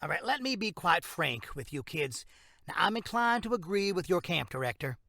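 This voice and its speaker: A man's voice: sits high, very animated, moderate pace, gravelly, pushed and bright, no room sound, clean studio sound, exaggerated voice. A man acting as a frank, condescending small-town sheriff in an audio drama. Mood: matter-of-fact, skeptical. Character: dismissive, bureaucratic small-town male sheriff.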